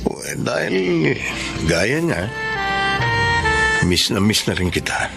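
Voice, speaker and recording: A man's voice: lowish voice, big pitch swings, takes it slowly, creaky, heavily acted. An actor with a Filipino accent playing a widowed father and earnest romantic lead in an emphatic radio drama style. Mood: tender, earnest. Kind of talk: Filipino radio drama acting with first-person narration. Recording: music under the voice.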